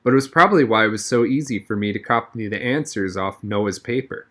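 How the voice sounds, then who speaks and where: A man's voice: fairly low pitch, a little fast, a bit bright. A man reading a children's novel aloud as a first-person audiobook narrator.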